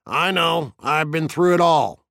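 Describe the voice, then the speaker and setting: A man's voice: on the high side, measured pace, fairly bright tone, dry recording, studio quality, pitched above the performer's own voice. A man reading an audiobook as a grandiloquent fire chief, needling and mock-jovial, with a sly, gleeful, declamatory delivery. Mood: needling, grandiose. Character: Beatty, taunting, grandiloquent male fire chief, slyly gleeful bully. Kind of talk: audiobook character acting.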